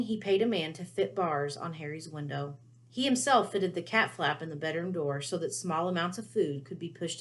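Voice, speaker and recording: A woman with a lowish voice reads a children's story aloud. Her narration is expressive, in the style of a storyteller reading a chapter to listeners.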